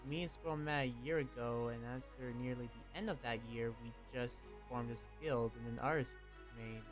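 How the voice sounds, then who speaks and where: A man's voice: mid-range voice, normal speed, music in the background. A young man talking in character during a roleplay game, hesitant, with many fillers and restarts. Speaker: roleplay player and channel host.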